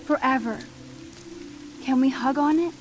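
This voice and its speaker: Earnest high-voiced teenage girl, rain behind. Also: unhurried. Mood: pleading, reassuring. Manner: earnest, coaxing. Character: earnest, determined teenage schoolgirl pleading with friend. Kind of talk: dramatic video game acting. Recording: clearly noisy, rain pattering behind.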